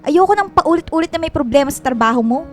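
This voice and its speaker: A woman's voice: sits high, animated, fast, soft background music. A woman playing a bossy, haughty office superior in a radio drama, with a demanding manner. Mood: imperious. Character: bossy, credit-stealing female office superior.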